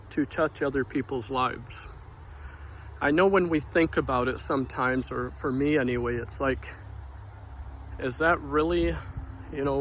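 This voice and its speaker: A soft-spoken man giving an informal devotional talk outdoors near a street, in a quiet, unhurried manner. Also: medium pitch, a little hiss or hum, rough recording. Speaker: devotional vlogger. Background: passing cars.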